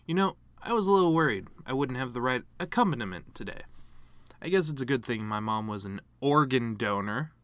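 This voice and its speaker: A man performing a dramatic monologue as a bitter, grieving horse giving his mother's eulogy, rambling with sardonic, self-deprecating deadpan humor. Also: medium pitch, a little fast, dry recording, lightly acted. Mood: flippant, bitter.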